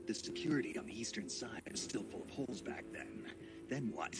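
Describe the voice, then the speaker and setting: An actor playing a terse, inquisitive special forces soldier in a video game, with a gruff, gravelly, breathy voice. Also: male voice, on the low side, animated, brisk, lightly acted. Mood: curious, wry. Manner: terse, questioning. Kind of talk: dramatic video game cutscene acting. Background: music.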